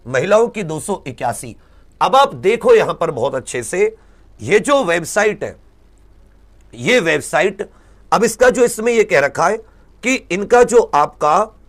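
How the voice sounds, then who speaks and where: A man explaining exam results to students as a teacher, informally and emphatically, repeating points and asking rhetorical questions. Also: high-pitched. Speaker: exam-prep teacher and presenter.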